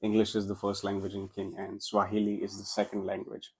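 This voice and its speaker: A man's voice: lowish voice, completely flat delivery, a little fast, darkish tone, slightly rough. A young man from India with an Indian accent, a Gujarati speaker, telling his story as a guest in a casual interview, often restarting his sentences. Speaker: podcast guest.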